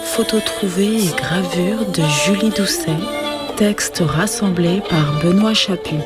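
A woman's voice: medium pitch, slow pace. A woman reading a literary text aloud. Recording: voice mixed over prominent music. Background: music.

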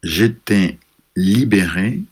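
A man from France, an author, telling a reflective personal story in a measured, pausing way, searching for his words. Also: low-pitched, slightly rough.

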